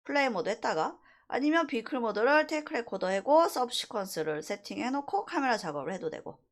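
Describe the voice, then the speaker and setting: A woman instructor giving a casual software tutorial, talking through each step conversationally and checking in with short rhetorical questions. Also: a little high, big pitch swings, a little fast. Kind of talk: casual screen-recorded software tutorial, thinking aloud while demonstrating.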